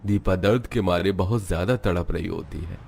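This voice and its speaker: Narrator for animated family drama: male, deep register, scripted storytelling delivery. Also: normal speed, gravelly, slightly rough.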